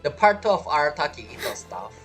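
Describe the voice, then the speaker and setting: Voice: medium pitch, big pitch swings, fast talker, a bit bright. Streamer, playful offhand reactions, voice jumps around, faint music. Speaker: game streamer. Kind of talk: casual gaming commentary.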